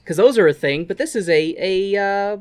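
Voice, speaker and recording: A man's voice: high voice, expressive, a little fast, darkish tone, faint music behind. A man with an American accent giving a casual, sweary recap with wry asides, as a podcast co-host. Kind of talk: casual film recap with commentary.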